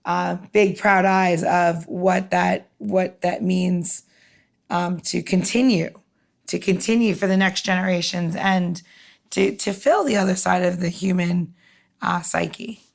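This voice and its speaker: A woman's voice: fairly low pitch, fairly even intonation, slow, vocal fry. A woman hosting a casual podcast interview, rambling as she thinks aloud through her questions. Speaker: podcast host.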